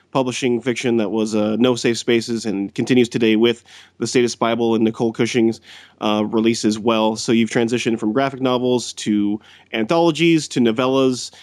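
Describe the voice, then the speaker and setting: A man's voice: mid-range voice, a little mellow, no room sound. A man hosting a podcast panel, asking questions in a friendly, easygoing way. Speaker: podcast host. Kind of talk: casual interview hosting.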